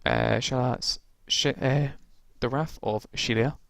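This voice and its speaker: A man casually walking through tabletop game rules, rambling with lots of ums and restarts, his voice gone croaky from talking so long. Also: medium pitch, fairly even intonation, unhurried, a bit bright, dry recording.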